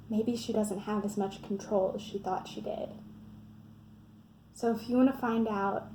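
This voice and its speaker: A woman's voice: medium pitch, dark tone. A woman giving a scripted book talk and reading a picture book aloud. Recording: roomy echo, faint background noise.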